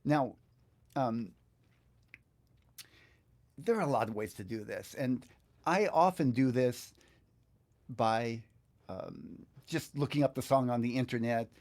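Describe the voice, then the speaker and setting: An American man in his sixties with a medium-pitched voice hosts an online guitar lesson. He explains things in an easygoing, conversational way, like a teacher chatting casually on a livestream. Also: slow, pauses often, a little mellow. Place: small indoor home studio.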